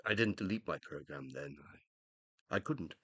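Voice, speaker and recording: An actor in a radio play voicing the uploaded mind of a dead scientist, self-reproaching and loving, with an emotional, hesitant, confessional delivery. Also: male voice, deep voice, animated, moderate pace, fairly bright tone, no room sound, lo-fi sound. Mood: quiet, reflective.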